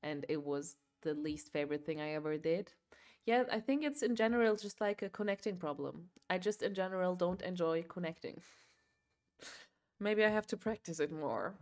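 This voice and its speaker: A woman's voice: fairly low pitch, expressive, normal speed, a little mellow. Chatty young woman. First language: German. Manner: chatty, rambling, filler-heavy, laughs often. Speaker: livestream host. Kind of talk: casual chat while crocheting.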